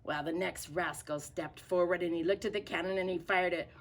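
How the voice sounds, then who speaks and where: A woman storyteller reading a children's picture book aloud in an expressive, theatrical way. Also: fairly low pitch, a little fast.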